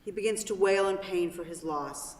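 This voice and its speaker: A woman's voice: mid-range voice, moderate pace, a little mellow. A woman reading stage directions as scripted narration for a play. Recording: very echoey hall from far off.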